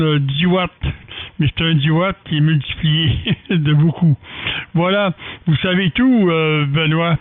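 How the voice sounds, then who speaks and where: Casting: male Quebecois ham operator, slightly high voice, halting technical chat over a thin radio signal. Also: no room sound. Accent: Quebec French. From Quebec, Canada. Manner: halting, hesitates often between phrases. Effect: amateur radio transmission. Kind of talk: ham radio contact, technical casual talk. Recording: dry, narrow radio-band signal.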